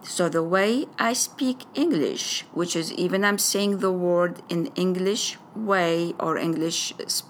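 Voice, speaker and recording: A woman's voice: on the low side, slight background noise. A woman from Iraq, a native Arabic speaker with an Arabic accent, sharing her background with a school audience in careful, hesitant English. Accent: Iraqi Arabic. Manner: careful, deliberate phrasing with frequent hesitations. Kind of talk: personal testimony to a school audience.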